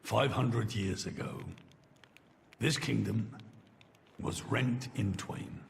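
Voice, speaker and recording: A man playing a dignified, regretful king in a game cutscene, speaking in a formal, measured, solemn way. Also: fairly low pitch, gravelly, a little hiss or hum, exaggerated voice. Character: regretful, dignified king recounting his kingdom's dark history. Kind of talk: dramatic game cutscene acting. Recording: long reverb, loud music behind.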